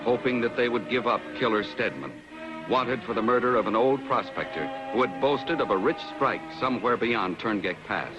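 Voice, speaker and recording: A man's voice: mid-range voice, moderate pace, rough recording. Grand 1950s adventure narrator, man, over music. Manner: grand, solemn announcer delivery. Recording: vintage 1950s television soundtrack. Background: loud music.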